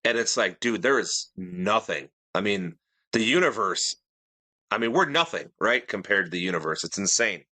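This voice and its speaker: A man co-hosts a casual podcast, easygoing and a little rambling as he tosses out questions. His lowish voice has a bright, forceful edge. The sound is close and completely dry. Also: lively intonation, normal speed, no echo. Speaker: podcast co-host. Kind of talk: casual chat.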